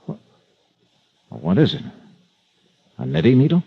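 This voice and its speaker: An actor playing a hard-boiled, impatient American investigator, brisk and blunt, in the style of old radio detective drama. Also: male voice, mid-range voice, huge pitch swings, gravelly, slightly rough, heavily acted. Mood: questioning. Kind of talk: 1940s-50s radio detective acting. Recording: dull vintage radio recording.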